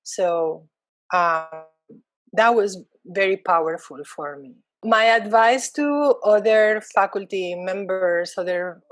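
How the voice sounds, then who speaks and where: A woman's voice: on the low side, a bit bright, dry recording, poor audio. Thoughtful woman. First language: Spanish. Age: middle-aged. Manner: thoughtful, frequent ums and restarts. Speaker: university Spanish lecturer. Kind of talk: reflective interview talk.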